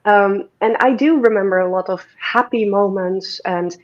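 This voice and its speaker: A woman's voice: mid-range voice, moderate pace, muffled recording. A woman with a Dutch accent, chatting as an interview guest, rambling with many fillers and restarts. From Netherlands. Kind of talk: casual interview conversation.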